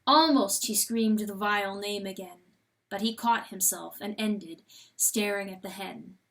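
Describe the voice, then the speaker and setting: A woman giving a dramatic, intense and deliberate storybook narration. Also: medium pitch. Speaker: audiobook narrator.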